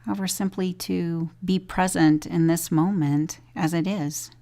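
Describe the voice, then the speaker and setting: Very slow, low-pitched woman guiding meditation. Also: steady tone. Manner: gentle, unhurried instruction with long pauses. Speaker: meditation teacher leading a body scan. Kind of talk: guided meditation.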